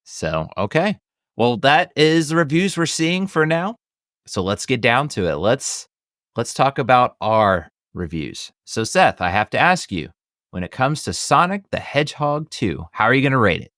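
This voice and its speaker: A man's voice: medium pitch, big pitch swings, normal speed, a bit bright, dry recording, studio quality. A man hosting a movie review podcast in casual conversation, often hedging and circling back over his words. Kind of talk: casual film review chat.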